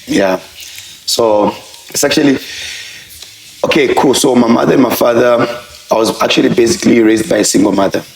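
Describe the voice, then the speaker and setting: A man's voice: mid-range voice, normal speed, creaky, long pauses. A man, a professional fighter, talking earnestly about his life as an interview guest. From Zambia. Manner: earnest, frequent "I would say" and "you know". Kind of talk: reflective interview talk. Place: distillery room. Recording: echoey room.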